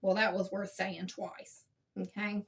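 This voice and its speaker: A woman with a smooth, soothing voice giving a casual spiritual self-help talk. She speaks gently and unhurriedly, checking in with a frequent "okay?". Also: flat delivery, unhurried, distant mic. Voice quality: smooth, low-toned soothing voice. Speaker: spiritual teacher.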